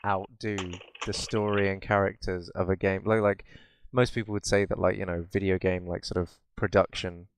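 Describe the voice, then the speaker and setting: A man's voice: low voice, little pitch movement, moderate pace, smooth, no room sound. A man chatting casually as a podcast co-host, opinionated and informal, with plenty of swearing. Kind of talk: casual chat about films and games.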